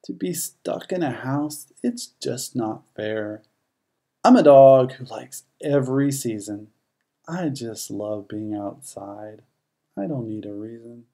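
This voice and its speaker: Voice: medium pitch, expressive, slow, dark tone. A narrator voicing a free-spirited, plainspoken collie in a rhyming children's storybook. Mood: earnest. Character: free-spirited collie dog who loves outdoors.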